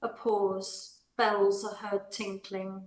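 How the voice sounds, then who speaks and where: A woman's voice: mid-range voice, level tone, takes it slowly. Echoey woman narrating stage directions. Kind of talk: scripted narration. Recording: echoey room.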